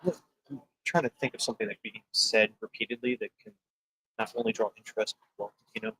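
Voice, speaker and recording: A man with a raspy, gravelly voice talks quickly and rambles, filling gaps with ums and uhs. His voice swings up and down a lot. The tone is bright and tense. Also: mid-range voice, expressive, poor audio. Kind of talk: casual chat at a booth.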